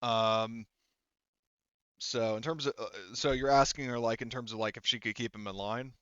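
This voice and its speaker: A man narrating a tabletop roleplaying game as game master, improvising in a halting, rambling way full of fillers. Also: mid-range voice, steady tone, a bit bright, clear, resonant tone, no echo, lo-fi sound.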